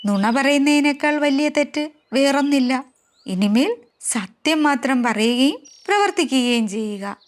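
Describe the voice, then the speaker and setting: A woman voicing a male lion king of the forest, stern but fair, speaking in a measured, commanding, admonishing way for a children's story. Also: sits high, lively intonation, slight background noise. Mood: gently admonishing.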